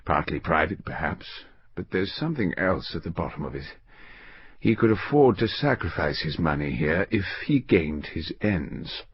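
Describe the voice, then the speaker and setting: A man's voice: low voice, sing-song, normal speed, husky, dry recording, muffled recording, pitched below the performer's own voice, heavily acted. A man voicing an old senior banker, shrewd and resolute, with a clipped, weighty, suspicious manner in an audiobook story. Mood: grim, suspicious.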